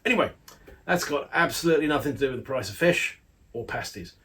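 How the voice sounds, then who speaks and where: A man's voice: mid-range voice, lively intonation, fast talker, fairly bright tone. A man, an audio magazine editor, giving a casual spoken product review in a chatty, rambling way with playful asides.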